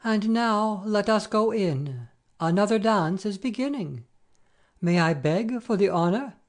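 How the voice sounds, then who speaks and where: A woman's voice: mid-range voice, animated, a little slow, close to an everyday voice. A woman playing an earnest, dignified young lord in a dramatic audiobook, formal and stately. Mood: grave, courtly.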